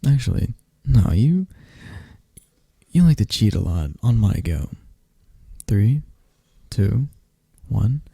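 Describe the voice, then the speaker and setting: A man playing a soft, gravelly shy best friend. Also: lowish voice, big pitch swings, slow, no echo, clean studio sound, exaggerated voice. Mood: playful. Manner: soft-spoken, stammering, gentle. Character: shy affectionate young adult male best friend. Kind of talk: ASMR roleplay.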